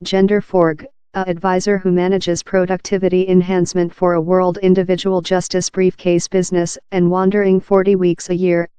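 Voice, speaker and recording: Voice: medium pitch, flat delivery, normal speed, hardly any pauses, dark tone, clean tone, no room sound. A narrator reading a scripted article aloud in an impersonal, uninflected way.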